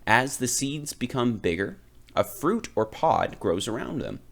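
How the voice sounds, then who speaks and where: A man reading a children's picture book aloud, phrasing it deliberately and with expressive emphasis. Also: mid-range voice, vocal fry. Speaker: read-aloud reader.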